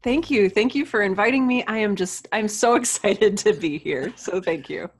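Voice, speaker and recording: A woman's voice: medium pitch, normal speed, husky, soft background music. A woman speaking earnestly and appreciatively as a podcast guest in a conversational interview. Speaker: podcast guest, writer and audio drama producer.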